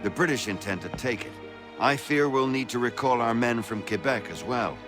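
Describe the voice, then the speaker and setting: Man doing a serious statesman type, measured, music playing behind. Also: mid-range voice, lively intonation, lightly acted. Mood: earnest. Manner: earnest, measured. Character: earnest male revolutionary statesman, middle-aged.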